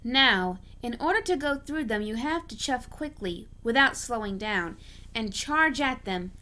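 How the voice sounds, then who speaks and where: A confident, teasing male tank engine from a children's story, as a woman reader puts him on. The voice is bright and raised, with a coaxing, cheerful slyness. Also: a little high, animated, measured pace. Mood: confident, sly.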